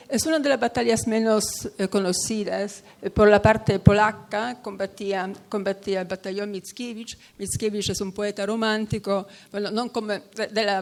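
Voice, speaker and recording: A woman gives a conference talk in a language that is not her first. She hesitates often, restarting phrases and filling gaps with "eh", at a middling pitch for a woman. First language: Polish. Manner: hesitant, many fillers and restarts. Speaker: conference speaker. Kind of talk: academic talk at a panel.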